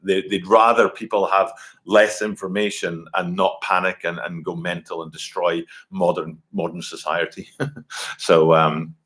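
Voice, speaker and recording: Rambling man in his forties hosting a casual live stream at his computer, voice sits low. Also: little pitch movement, unhurried, fairly bright tone. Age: 40s. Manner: rambling, many fillers and restarts. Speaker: livestream host. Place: home, at a computer. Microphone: computer webcam mic.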